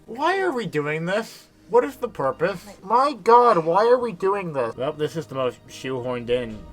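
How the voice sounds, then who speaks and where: A man's voice: high voice, big pitch swings, a little slow, faint music behind. A man making comedic commentary asides, exasperated and ranting.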